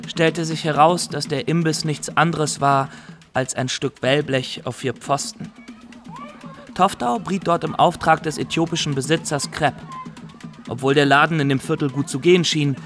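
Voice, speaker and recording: A man's voice: on the high side, fairly bright tone. An actor playing an earnest young Somali graduate turned pirate, telling his story formally and directly to the listener in a radio play.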